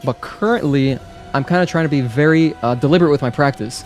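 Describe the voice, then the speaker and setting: A man's voice: fairly high pitch, quick pace, darkish tone, faint music behind. Chatty man streaming a game. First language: Spanish. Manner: friendly, chatty, many "like" fillers. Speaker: gaming livestreamer. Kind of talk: casual live-stream chat while playing.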